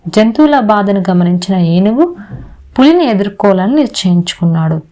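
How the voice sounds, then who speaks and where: A woman's voice: mid-range voice, big pitch swings, normal speed, dark tone. A woman narrator reading a scripted moral story for children. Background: music.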